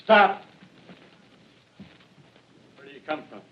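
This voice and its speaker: An actor playing a suspicious, territorial survivor armed with a knife, speaking bluntly in a 1930s radio drama style on a dull lo-fi broadcast. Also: male voice, takes it slowly, natural voice.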